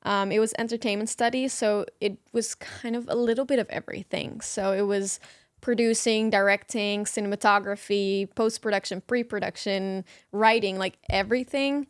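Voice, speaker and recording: A young woman from the Netherlands chatting as a guest in an interview, relaxed and talkative with frequent ums. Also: medium pitch, moderate pace, clear, resonant tone, dry recording, studio quality. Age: young adult. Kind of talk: casual interview chat.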